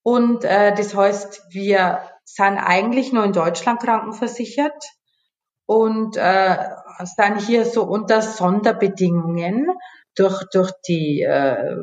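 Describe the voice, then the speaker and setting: Interview guest: female, informal and talkative, average pitch, reverberant room sound. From Bavaria, Germany. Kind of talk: casual conversational interview. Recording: echoey room.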